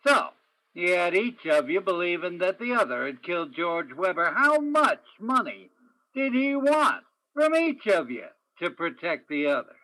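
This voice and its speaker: A man's voice: sits high, sing-song, measured pace, sharp tone, dry recording, full character voice, poor audio. A man playing a persistent, world-weary hard-boiled detective in a radio crime drama. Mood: accusing, insistent. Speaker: stage actor in a radio play. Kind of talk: old-time radio crime drama acting.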